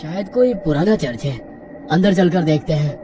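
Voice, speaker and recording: A man voicing a bold, adventurous young male college student in an animated horror story, speaking in an exclamatory, lively manner. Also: fairly high pitch, moderate pace, distant mic, slight background noise, natural voice. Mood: eager.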